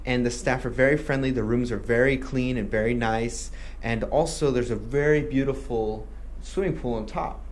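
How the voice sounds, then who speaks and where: A man's voice: medium pitch, normal speed, slight background noise. Friendly man explaining a tour. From Malaysia. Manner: friendly explaining, with a few restarts. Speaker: tour company host. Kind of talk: promotional Q&A.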